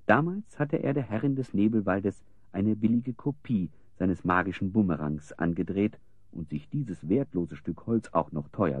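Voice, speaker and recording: Deep, expressive male storyteller. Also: dry recording. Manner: deliberate, expressive storyteller delivery. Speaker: narrator. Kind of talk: dramatic scripted storytelling narration for a fantasy audio play.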